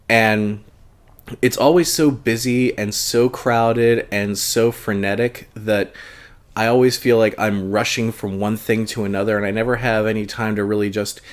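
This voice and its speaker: Low-ish voiced guy hosting a live stream, kinda stuffy nose, lots of ums and uhs, laid back. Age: adult, long-time convention goer. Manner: hesitant, many fillers, relaxed and chatty. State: a little congested. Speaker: host of a live book club show. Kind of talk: casual live-stream chat reading viewer comments.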